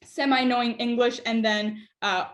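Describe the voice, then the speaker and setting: Young female student guest, higher pitch, easy and thoughtful with fillers, roomy echo. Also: fairly even intonation, moderate pace. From United States. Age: young adult. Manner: thoughtful, many "uh" and "um" fillers, light laughs. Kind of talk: conversational interview.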